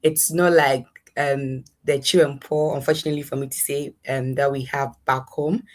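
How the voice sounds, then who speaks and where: A woman's voice: a bass voice, fairly even intonation, moderate pace, darkish tone. A young adult woman with a Ghanaian accent, giving conversational answers as an interview guest. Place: in a room at home, online interview.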